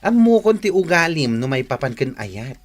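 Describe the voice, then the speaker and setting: An actress playing a frank, scolding young best friend in an Ilocano radio drama, with an Ilocano accent. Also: female voice, low-pitched, sing-song, normal speed, noisy background. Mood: reproachful.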